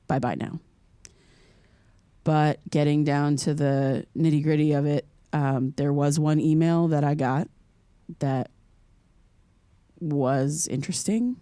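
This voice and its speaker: An actress playing an earnest, curious young American podcaster in an audio drama, speaking in a conversational, slightly hesitant way. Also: female voice, low-pitched, warm tone, no echo. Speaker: podcast host character.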